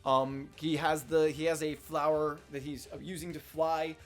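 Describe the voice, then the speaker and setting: A young man with a slightly hoarse voice, rambling casually through a manga chapter review with lots of fillers and restarts. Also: on the high side, little pitch movement, unhurried, soft background music. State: slightly hoarse, losing his voice. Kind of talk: casual unscripted commentary.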